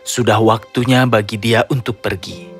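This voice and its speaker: A man's voice: on the low side, steady tone, moderate pace, soft background music. A man narrating a scripted children's fairy tale as a storyteller.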